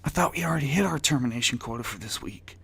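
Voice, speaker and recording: A man's voice: medium pitch, expressive, quick pace, bright, forceful tone, husky, pitched above the performer's own voice, heavily acted. A man voicing a meek, frightened office underling who is nervous, pleading and anxiously deferential. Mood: terrified.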